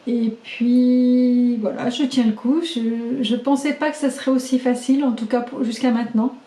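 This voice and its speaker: A middle-aged woman recording a casual video diary, chatty and halting with many fillers, her voice slightly hoarse as she loses it. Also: on the high side, fairly even intonation, dark tone. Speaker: vlogger keeping a video diary. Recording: echoey room.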